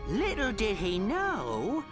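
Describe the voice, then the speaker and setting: High-pitched, theatrical robot pirate sidekick. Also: male voice, huge pitch swings, takes it slowly, exaggerated voice. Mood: dramatic storytelling. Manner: theatrical, eager storytelling. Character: excitable pirate sidekick and storyteller, mangy old robot. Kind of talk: video game cartoon narration and banter. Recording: music underneath.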